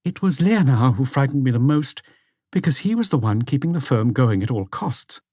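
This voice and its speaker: A man's voice: mid-range voice, expressive, moderate pace, warm tone, no room sound, clean studio sound, pitched above the performer's own voice, natural voice. A man voicing an anxious woman under questioning in a mystery audiobook, hesitant and defensive. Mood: frightened, confessing.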